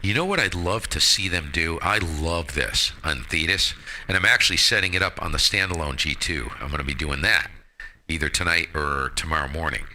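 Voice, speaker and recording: A man's voice: extremely low pitch, fairly quick, bright, forceful tone, poor audio. A man chatting casually about his radio hobby in a blunt, opinionated way, with mild folksy exclamations, speaking into a headset mic. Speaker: ham radio operator hosting a live stream.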